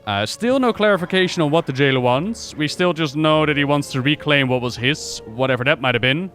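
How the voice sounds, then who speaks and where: A man's voice: fairly high pitch, brisk, fairly bright tone, faint music behind. A man giving casual livestream reaction commentary as a game lore YouTuber, explaining things in a chatty, informal way.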